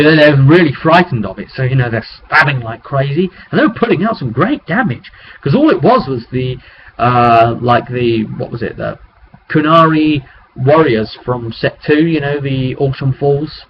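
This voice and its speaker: Man recapping a game, dull noisy webcam audio. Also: mid-range voice. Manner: rambling, colloquial, acting out sound effects. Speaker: game master recapping a tabletop session. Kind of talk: casual animated storytelling of a roleplay session.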